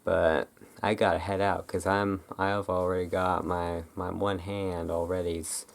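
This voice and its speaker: Low, creaky ASMR host of unclear sex. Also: little pitch movement. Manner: rambling, halting, informal. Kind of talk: ASMR, soft casual roleplay chat.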